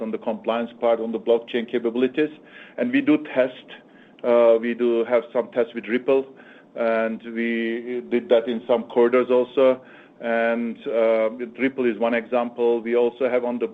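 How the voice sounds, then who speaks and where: Male chief executive, flat intonation, halting repetitive phrasing, earnings call, narrowband phone line. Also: mid-range voice. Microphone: phone conference call.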